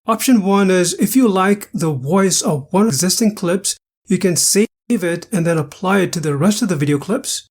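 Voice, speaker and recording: A man narrating a scripted software tutorial step by step in a methodical, instructional manner. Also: high voice, expressive, normal speed.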